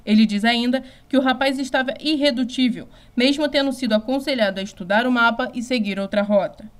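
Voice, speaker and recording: A woman's voice: on the high side, brisk, natural voice. A woman playing a serious, composed news reporter reading a scripted bulletin with a Brazilian accent. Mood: formal, serious.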